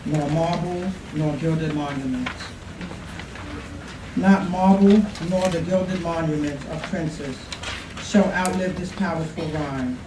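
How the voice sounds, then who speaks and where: A woman reads classical verse aloud at a slow pace. She sounds away from the mic in an echoey room, with clear noise behind her. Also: deep voice, recorded from a distance. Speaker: poetry contest reader. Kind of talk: reading a sonnet aloud. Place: college classroom, at a podium.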